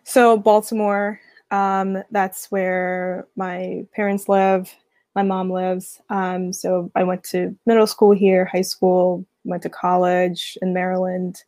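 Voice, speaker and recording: A young woman from Guyana, a guest talking casually in an interview, relaxed, with many fillers and restarts. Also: lowish voice, slow, no room sound. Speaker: guest, finance community founder.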